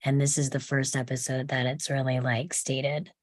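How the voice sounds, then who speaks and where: A woman's voice: extremely low pitch, flat delivery, normal speed, no echo, clean studio sound. A woman chatting casually in a group as a knowledgeable fan of a TV series. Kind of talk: casual chat about a TV series.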